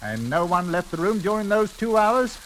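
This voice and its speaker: Nosy polite inspector, voice moves around a lot, old radio drama, bit of hiss. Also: male voice, sits high, very animated. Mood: probing. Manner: deliberate, hesitant politeness, tag questions. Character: methodical, politely probing male police inspector. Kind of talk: 1940s radio drama acting.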